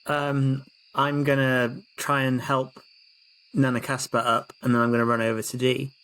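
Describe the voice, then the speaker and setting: Tabletop player: man with a medium-pitched voice, informal and conversational. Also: level tone, moderate pace, fairly bright tone, poor audio. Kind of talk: casual roleplay and chat.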